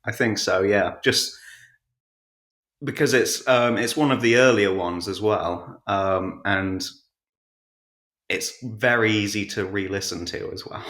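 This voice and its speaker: A man's voice: fairly low pitch, slow pace, fairly bright tone. A man chatting casually as an interview guest, answering in a hesitant, understated way, recorded with an echoey, roomy sound. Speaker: podcast guest and audiobook narrator.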